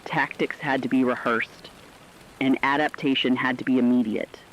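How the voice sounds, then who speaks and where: A man giving dramatic scripted science-fiction narration with a serious, deliberate delivery. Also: mid-range voice, fairly even intonation, fairly bright tone, a little hiss or hum, rough recording.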